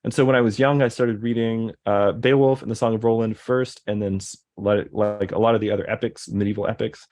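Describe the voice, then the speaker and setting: Guy chatting, lowish voice, lots of ums and restarts. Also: fairly even intonation, a little fast, few pauses, a little mellow. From American Deep South. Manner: rambling, many fillers and restarts. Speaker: guest. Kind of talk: casual conversation.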